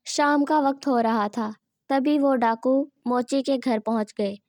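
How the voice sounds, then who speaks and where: Dry-sounding female story narrator, higher voice. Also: a little slow, a little mellow, no echo. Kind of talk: scripted children's story narration.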